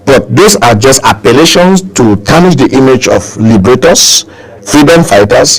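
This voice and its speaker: Man, Cameroonian accent, gravelly fry, medium pitch, emphatic oratory, repeated phrases, political interview guest. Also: poor audio. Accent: Cameroonian English.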